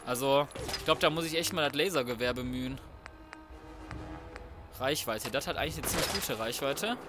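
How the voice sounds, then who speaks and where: A man's voice: mid-range voice, normal speed. A man giving casual, colloquial let's play commentary, swearing and reacting aloud as he plays. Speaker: gaming YouTuber. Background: video game sound and music.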